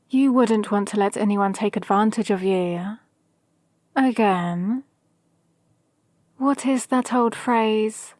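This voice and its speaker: Girl doing an asmr villain sorceress mentor, kinda unhinged but calm, gently bossy, really slow talking, close dry mic. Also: medium pitch, dry recording, close to an everyday voice. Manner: gently dominant, condescending, measured. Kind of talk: ASMR roleplay with whispered asides. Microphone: close, dry microphone.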